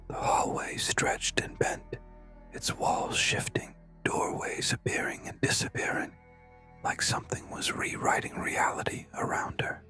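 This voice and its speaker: A narrator telling a scary story in a breathy, hushed whisper, with dramatic short suspenseful phrases. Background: music.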